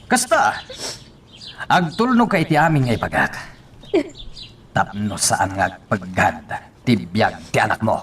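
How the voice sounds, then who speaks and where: Domineering radio drama man, swooping pitch man acting. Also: big pitch swings, unhurried, long pauses, a bit bright, a little hiss or hum. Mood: mocking, threatening. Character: domineering, threatening man mocking a pleading woman. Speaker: actor.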